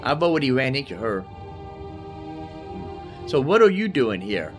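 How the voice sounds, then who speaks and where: A man's voice: mid-range voice, very animated, a little slow, soft background music. A man giving casual let's-play commentary, thinking aloud and repeating himself as he goes. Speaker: gamer, host of a roleplay series.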